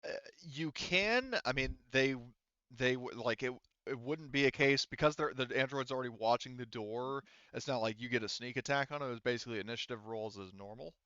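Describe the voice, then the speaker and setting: Smooth-voiced man running a tabletop game. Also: mid-range voice, bright, forceful tone, dry recording, highs cut off. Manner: halting, informal, explains with many asides. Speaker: game master. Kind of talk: casual narrating and rules talk for an online tabletop roleplaying game.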